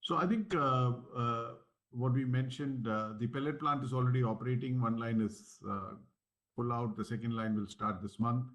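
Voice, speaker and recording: A man's voice: medium pitch, normal speed. A man speaking as a company executive, giving matter-of-fact, point-by-point answers on an earnings call.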